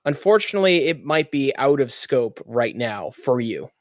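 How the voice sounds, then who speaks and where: A man's voice: mid-range voice, lively intonation, normal speed, dry recording, studio quality. A man acting as game master, casually describing scenes and rulings to players in a tabletop roleplaying game.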